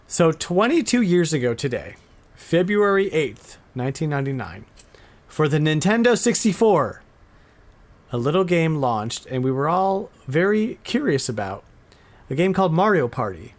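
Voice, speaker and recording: A man's voice: fairly high pitch, big pitch swings, normal speed. A man chatting casually and enthusiastically as the host of a retro gaming podcast.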